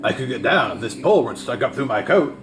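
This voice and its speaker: A man's voice: mid-range voice, sing-song, fast talker, close to an everyday voice. A man voicing a polite, hopeful straw scarecrow in a storybook read-aloud. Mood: friendly. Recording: music behind the voice.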